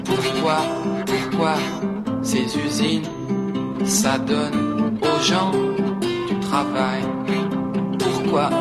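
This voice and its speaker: Slow-singing woman over loud guitar. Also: low-pitched. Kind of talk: slow folk song with guitar. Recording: echoey music recording with loud guitar. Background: plucked acoustic guitar.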